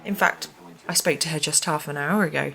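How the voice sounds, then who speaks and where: An actress playing a prim, stern care home manager in a radio play, formal and reproving. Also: female voice, sits low, brisk, gravelly, sharp tone, exaggerated voice, low-quality recording. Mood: smug, stern.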